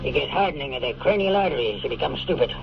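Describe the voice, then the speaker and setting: An actor playing an ailing, worried old big-city mayor in a radio drama, with a hoarse, confiding, deliberate voice. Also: male voice, expressive. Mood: weary, regretful. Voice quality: hoarse, low-speaking, gravelly. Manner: confiding, deliberate, throat-clearing. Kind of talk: 1950s radio drama acting. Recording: muffled, noisy old radio recording.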